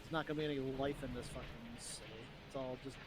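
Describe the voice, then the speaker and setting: A man's voice: medium pitch, fairly even intonation, fast talker, background noise. A man in casual role-play game chat, grumbling bluntly with plenty of swearing. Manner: blunt, profane, grumbling rant.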